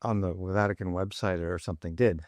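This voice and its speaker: A man's voice: low-pitched, fairly even intonation, darkish tone, no echo, clean studio sound. A man in a casual podcast discussion, talking thoughtfully with frequent restarts and fillers. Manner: thoughtful, halting, many restarts. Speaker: podcast panelist. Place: studio in Rome.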